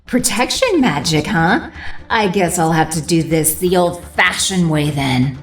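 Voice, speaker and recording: A woman's voice: on the low side, expressive, a little slow, far from the mic. A woman playing a sardonic, vengeful sorceress villain in an audio drama, theatrical and taunting. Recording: roomy echo, music underneath.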